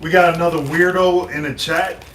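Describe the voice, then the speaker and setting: A man on a livestream reading the chat and making deadpan jokes. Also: fairly high pitch, moderate pace, recorded from a distance. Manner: deadpan joking. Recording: echoey room off-mic, with noise.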